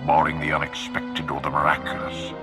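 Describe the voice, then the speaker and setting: Video game dark lord: bottomless low voice, grave, formal, commanding, measured delivery, score underneath. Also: male voice, flat delivery, gravelly. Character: grim commanding dark lord of an empire at war. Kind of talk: scripted video game acting. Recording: game music behind the voice.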